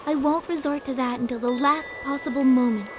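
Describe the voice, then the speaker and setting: An actress voicing a gentle young woman who carries a heavy burden, speaking softly and earnestly. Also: high-pitched, fairly even intonation, fairly quick, background noise, natural voice. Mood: resolved. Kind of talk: video game dub acting.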